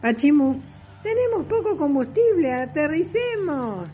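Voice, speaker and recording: A woman's voice: high-pitched, measured pace, loud music behind the voice. A woman playing a spirited, kindly old great-grandmother and retired aviatrix in a children's radio play, with an Argentine accent and exclaiming delivery. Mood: delighted wonder. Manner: animated, exclaiming. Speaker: student voice actor.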